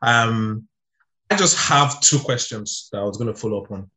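A man's voice: on the low side, moderate pace, pushed and bright, roomy sound, rough recording. A man co-hosting an interview, asking long, hesitant questions full of fillers. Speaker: interview co-host.

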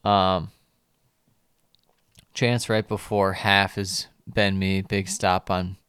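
A man with a low, smooth, resonant voice, recorded close and dry. He co-hosts a football podcast in a relaxed, chatty way, running through lineups and joking about player names. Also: drawn-out words, no room sound. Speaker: podcast co-host. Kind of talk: casual football podcast chat.

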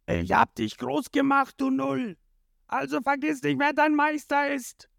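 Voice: mid-range voice, very animated, slow pace, vocal fry, dry recording, studio quality, pitched above the performer's own voice, exaggerated voice. A narrator voicing a cruel, domineering male pirate captain with a menacing, scornful manner in a storybook retelling. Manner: menacing, sneering.

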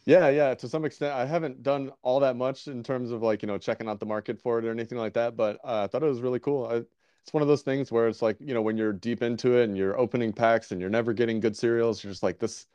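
A man's voice: medium pitch, steady tone, fast talker, darkish tone, clean tone, no room sound, highs cut off. A man hosting a casual interview podcast, talking in an easygoing, rambling way with many restarts. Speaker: podcast host.

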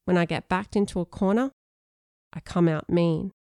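A woman voicing a defensive, sharp-tongued schoolgirl in an audiobook story. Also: lowish voice, moderate pace, clean tone, no room sound, clean studio sound, close to an everyday voice. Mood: cutting, mean.

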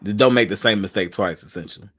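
A man's voice: lowish voice, quick pace, a bit bright, slight background noise. A man hosting a podcast interview, talking in a laid-back, slangy way with frequent restarts. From Charlotte, North Carolina. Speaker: podcast host. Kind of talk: casual interview chat.

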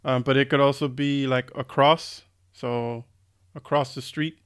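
Mid-pitched man chatting with um-filled pauses. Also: steady tone, smooth. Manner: thoughtful, fillers like um, swears when riled. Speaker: podcast co-host. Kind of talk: casual chat.

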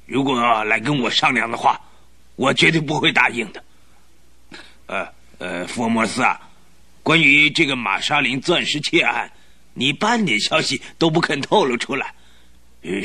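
An actor playing a pompous, irritable old duke who grunts and clears his throat in a radio drama. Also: medium pitch, animated, moderate pace, bright, forceful tone, full character voice. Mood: grumbling. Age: older.

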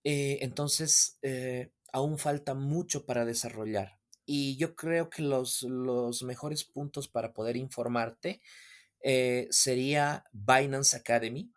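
A man who is a podcast guest, explaining things at a measured pace with frequent "eh" fillers. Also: mid-range voice, steady tone. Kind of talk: explaining in a casual interview.

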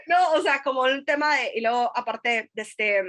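A high-pitched woman with a northern Mexican Sonoran accent, in her thirties. She talks casually on a podcast, pausing often and trailing into fillers and little tag questions as she recounts her experiences. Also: a bit bright. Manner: hesitant, many fillers and restarts, frequent tag questions. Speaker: podcast guest. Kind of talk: casual conversational storytelling.

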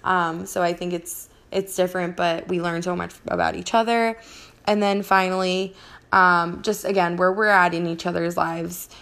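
A young woman from Colombia co-hosting a podcast, soft-spoken, with lots of hesitant "um"s. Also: on the low side, level tone, moderate pace, creaky. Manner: soft-spoken, many ums, gentle laughs. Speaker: podcast co-host. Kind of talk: casual podcast chat.

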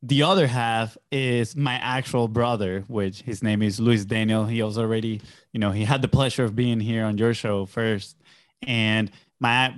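A man's voice: medium pitch, dry recording, clean studio sound. Upbeat young man from Venezuela. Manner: upbeat, loose storytelling with many fillers. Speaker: podcast guest, entrepreneur. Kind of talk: casual interview chat.

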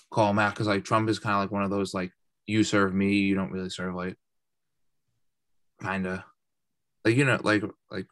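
A young man co-hosting a radio chat, talking haltingly and searching for words. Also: on the low side, no room sound. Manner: halting, many fillers and restarts. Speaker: radio show co-host. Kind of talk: casual opinion talk.

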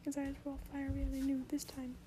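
A woman reading a story aloud in a soft whisper for sleep, indoors at home. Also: sits high, completely flat delivery, quick pace. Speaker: reader.

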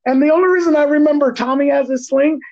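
A man co-hosting a podcast, chatting casually in profane slang with an animated, teasing, ranting manner. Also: very high voice, fast talker, a crowd in the background. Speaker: podcast co-host. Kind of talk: casual, slangy movie chat. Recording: dull, lo-fi podcast audio.

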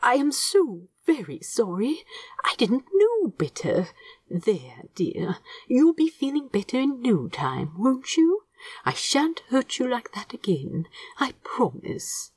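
A woman voicing a gentle, anxious, prim male angel in an audiobook story, soft, fussy and earnest. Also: on the high side, wildly swooping pitch, takes it slowly, husky, dry recording, voice pushed up, full character voice. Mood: tender.

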